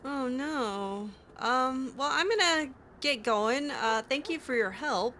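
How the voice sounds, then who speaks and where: A woman's voice: sits high, animated, takes it slowly, sharp tone, a little hiss or hum. A woman improvising casual in-character dialogue as she plays a role-playing game, chatty and hesitant with a lightly sardonic edge. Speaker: gamer role-playing her character aloud.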